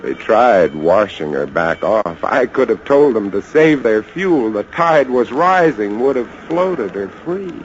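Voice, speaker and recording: Haunted lighthouse keeper: lowish voice, measured and halting, suspenseful old radio drama delivery. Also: male voice, sing-song, slight background noise. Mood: tense, resigned. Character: haunted lighthouse keeper, a man, recounting a rat siege. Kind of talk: 1940s-50s radio drama narration. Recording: dull vintage radio recording, loud music behind.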